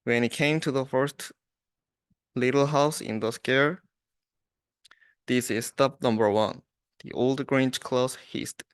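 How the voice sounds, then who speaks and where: A young adult man, a student, reading a rhyming storybook aloud with halting restarts. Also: mid-range voice, fairly even intonation, clean tone, dry recording, studio quality.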